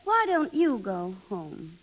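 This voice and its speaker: A woman's voice: mid-range voice, huge pitch swings, slow, exaggerated voice. An actress playing a vain, flirtatious American wife in her thirties, gushing and affected, in 1930s radio drama style. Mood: condescending. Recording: dull 1930s radio broadcast recording.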